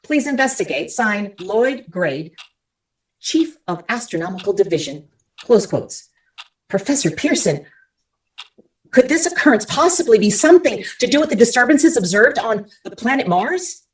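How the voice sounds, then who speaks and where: A woman's voice: a little high, big pitch swings, moderate pace, low-quality recording. A woman playing an earnest radio commentator, reporting and interviewing in a formal old-time radio drama style. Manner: formal, clearly phrased, attentive questioning.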